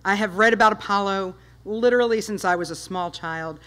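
A woman in her fifties giving an enthusiastic public talk with slides, loud and emphatic, firing rhetorical questions at the audience. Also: medium pitch, brisk. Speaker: guest speaker, space history presenter. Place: dark lecture hall with projector screen.

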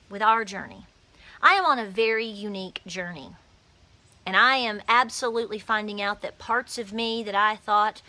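Bright, animated woman on her porch. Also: mid-range voice. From Appalachia, USA. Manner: animated, emphatic, gestures with her hands. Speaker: homesteading vlogger. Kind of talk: passionate straight-to-camera talk. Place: outdoors on a house porch. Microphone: phone camera at arm's length.

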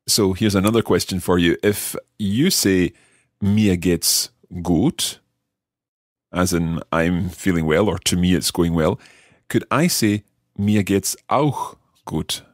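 A man co-hosting a language lesson as the learner, asking questions and slowly repeating phrases. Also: low voice, no room sound, studio quality. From Scotland. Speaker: learner co-host.

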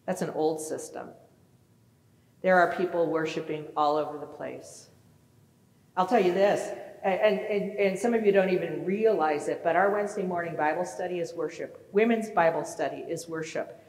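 A woman's voice: on the low side, normal speed. Woman pastor preaching in an echoey church. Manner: animated, gesturing, asks the congregation questions. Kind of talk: sermon. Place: large church sanctuary. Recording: echoey church with long reverb.

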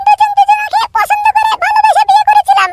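A performer voicing a plaintive young married woman in a comic cartoon, with a squeaky, shrill, pitched-up voice. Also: completely flat delivery, fast, recorded from a distance, heavy background noise. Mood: plaintive, pleading. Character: young married woman, tearful, pleading battered wife. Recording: music behind the voice.

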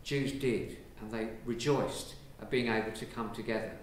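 An Englishman, a priest, giving a lively, conversational sermon from the pulpit of a large church, filmed from a distance. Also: lowish voice, normal speed. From England. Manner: lively, conversational preaching with gestures. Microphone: far camera. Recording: very echoey church from far off, faint hiss.

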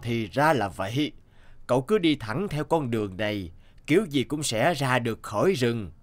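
A man's voice: medium pitch, animated, moderate pace, natural voice. Casting: male actor for old bearded mountain deity, stern, benevolent, grave warnings, children's animation, music behind. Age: older. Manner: solemn, admonishing. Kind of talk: children's fairy-tale cartoon dubbing.

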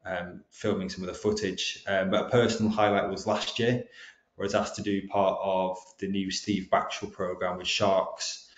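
A man's voice: low voice, little pitch movement, normal speed. A young Welsh man with a Welsh accent, giving a slide presentation, hesitant with frequent ums and restarts. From Wales. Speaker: guest speaker. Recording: dull, roomy online call audio.

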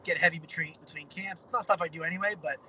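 Young guy rattling on fast from his car on a video call, bright voice, kinda dull audio w faint car hum. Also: on the high side. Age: young adult. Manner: upbeat, rambling, lots of fillers. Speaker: pro fighter interviewee. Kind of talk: casual video-call interview. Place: inside a parked car. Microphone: phone camera on a video call.

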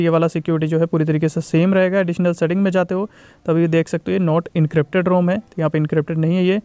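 Fast-talking young man, high clear voice. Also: a little mellow. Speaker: tech reviewer. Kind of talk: phone software review voice-over.

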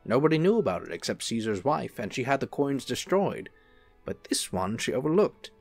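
A man playing a suave, serene angel in a radio-style drama, reassuring and gently evasive. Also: mid-range voice, big pitch swings, normal speed, gravelly, soft background music. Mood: serene, teasing. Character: suave, serene male angel posing as an assistant. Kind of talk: film-adaptation audio drama acting.

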